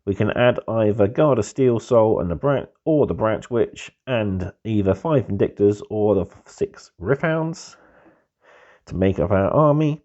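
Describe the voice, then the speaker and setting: A man with a cold, hoarse and husky, giving a relaxed, easygoing review of a hobby magazine as the channel's host. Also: lowish voice, measured pace. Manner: relaxed, easygoing, keeps calling things pretty cool. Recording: dull lo-fi recording.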